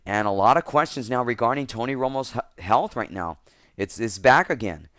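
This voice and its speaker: A man with a slightly hoarse voice, giving casual football commentary as a picks vlogger and rambling, restarting his sentences often. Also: mid-range voice, expressive, normal speed, a bit bright, dry recording, studio quality.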